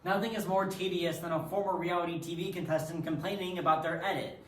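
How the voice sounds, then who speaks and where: A man's voice: on the high side, fairly even intonation, fast, a bit bright, natural voice. A man performing in a readers theatre piece with rehearsed, theatrical delivery. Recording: echoey room, distant from microphone.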